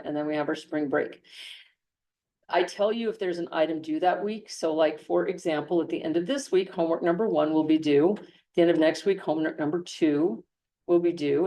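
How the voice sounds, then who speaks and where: A woman teacher talks to her class in a loose, conversational way, circling back on her sentences. Her voice sits low and mellow. She is picked up from across an echoey classroom. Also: distant mic. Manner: conversational, with frequent restarts and "okay". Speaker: engineering instructor. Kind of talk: classroom lecture.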